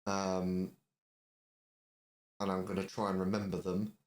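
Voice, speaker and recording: A man with a low voice co-hosts a podcast in a relaxed chat style. He talks conversationally, with some hesitation as he goes. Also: measured pace. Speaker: podcast co-host. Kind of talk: casual chat.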